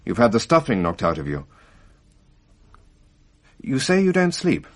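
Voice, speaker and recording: An actor playing a calm, matter-of-fact doctor who speaks in a measured, reassuring, slightly wry way in a radio drama. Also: male voice, medium pitch.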